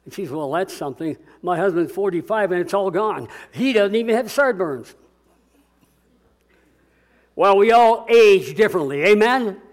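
An older man preaching a sermon to a church congregation, booming and emphatic, slowing down to stress key words. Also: lively intonation. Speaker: pastor.

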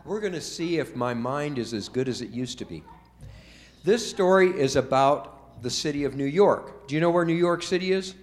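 A folksy man in his seventies tells a story to a group of children, stopping to quiz them along the way. His voice sits a touch higher than most men's, and his telling is loose and chatty rather than scripted. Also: animated, moderate pace. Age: 70s. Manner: folksy, asks the children questions. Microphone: told from memory without notes.

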